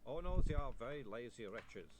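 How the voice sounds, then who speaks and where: A man voicing a nervous young male vampire who is polite, anxious and pleading, with loud keyboard typing behind. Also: medium pitch, sing-song, moderate pace, background noise, lightly acted. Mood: sheepish. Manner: anxious, pleading, overly formal.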